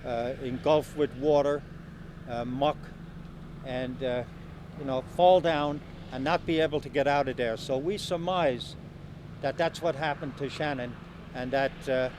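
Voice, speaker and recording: A man speaking as a police official in a halting, plain-spoken statement to the press. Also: mid-range voice, fairly even intonation. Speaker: police commissioner. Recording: noisy outdoor field interview.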